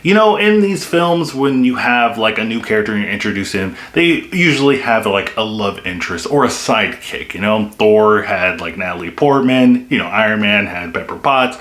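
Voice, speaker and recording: A man's voice: fairly low pitch, animated, moderate pace, hardly any pauses, fairly bright tone. A young man giving a casual, enthusiastic film review, with lots of "like" and "you know" fillers. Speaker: movie reviewer. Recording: echoey room.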